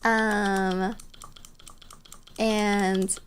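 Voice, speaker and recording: A woman's voice: medium pitch, level tone, extremely slow, slight background noise. A woman streamer telling stories casually in a bubbly, playful, cutesy way. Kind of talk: casual storytelling chat on a livestream.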